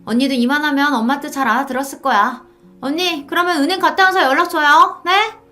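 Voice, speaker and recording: A woman's voice: high voice, fairly even intonation, brisk, pushed and bright, faint music behind, pitched above the performer's own voice. A woman voicing a sly, wheedling young sister-in-law who coaxes with false sweetness in a dramatized story. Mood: sly coaxing.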